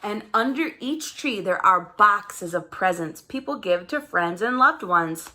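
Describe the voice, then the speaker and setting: A woman voicing a firm yet tender mother in a children's storybook, gently instructing her child. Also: mid-range voice, lively intonation, measured pace. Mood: tender, wistful.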